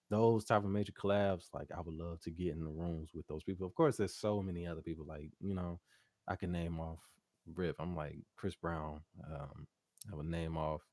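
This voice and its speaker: Deep-voiced man chatting as interview guest. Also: a little mellow, dry recording, studio quality. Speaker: interview guest, R&B singer and producer. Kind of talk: casual interview chat.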